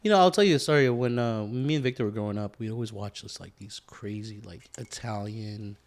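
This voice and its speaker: A man with an American accent chatting casually as a podcast co-host, reflective and halting, often repeating himself. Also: lowish voice. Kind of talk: casual chat.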